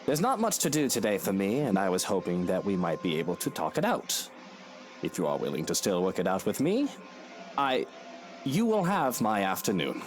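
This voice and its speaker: An actor playing a suave aristocratic husband in a visual novel, speaking formally with earnest, coaxing phrasing. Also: male voice, on the low side, sing-song, moderate pace, a little hiss or hum. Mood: earnest, contrite. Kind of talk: scripted visual novel voice acting.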